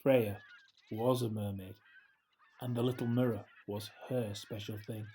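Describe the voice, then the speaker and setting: A man narrating a children's story as a storyteller, with measured, expressive phrasing and deliberate pauses. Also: lowish voice, slight background noise.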